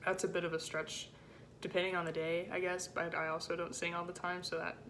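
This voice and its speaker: A woman with a low-set voice giving an informal music rehearsal lesson as a choir section leader, in a casual, encouraging way with hesitant phrasing. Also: flat delivery, fairly quick, fairly bright tone, slight background noise, rough recording. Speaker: choir section leader teaching alto parts.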